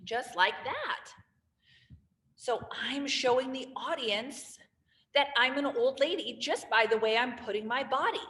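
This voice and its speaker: A woman teaching a drama lesson to young students, explaining step by step and showing each idea as she goes. Her voice sits fairly high, with a long reverberant tail around it. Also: measured pace, fairly bright tone. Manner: clear, demonstrative explaining with acted gestures. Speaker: theater teacher.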